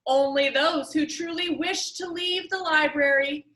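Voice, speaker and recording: A woman voicing an eccentric, showy billionaire game-maker in a storybook reading, announcing grandly with drawn-out emphasis. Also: sits high, steady tone, sharp tone, voice pushed up. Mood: grand, emphatic. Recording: roomy echo.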